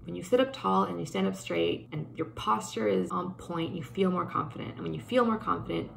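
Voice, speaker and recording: A woman's voice: lowish voice, fairly quick, vocal fry, a little hiss or hum, poor audio. A young woman from Canada talking to her webcam in a bedroom, earnest and upbeat, gesturing as she reflects on her plans. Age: young adult. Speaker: vlogger. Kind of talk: casual talk to camera. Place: bedroom indoors.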